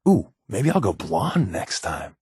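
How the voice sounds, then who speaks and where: A man's voice: lowish voice, sing-song, takes it slowly, darkish tone, husky, no echo, clean studio sound, full character voice. A man playing a wisecracking, secretive undercover agent in a video game, with a wry, glib, conversational delivery. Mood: wry, teasing. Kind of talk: video game companion dialogue.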